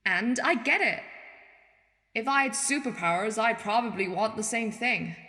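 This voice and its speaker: Woman acting as tough ex military boss in a radio drama, earnest n frank, medium pitch, reverby hall. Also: bright, forceful tone. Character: tough, plain-spoken ex-military woman, human agency leader. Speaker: radio play actor. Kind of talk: persuasive speech in a radio play.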